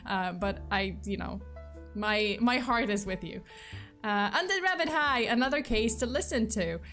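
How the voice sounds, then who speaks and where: A woman's voice: fairly high pitch, measured pace, fairly bright tone, soft background music. Giggly chatty woman. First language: Polish. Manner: chatty, giggly, many fillers and restarts. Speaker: VTuber streamer. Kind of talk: casual live-stream chat with viewers.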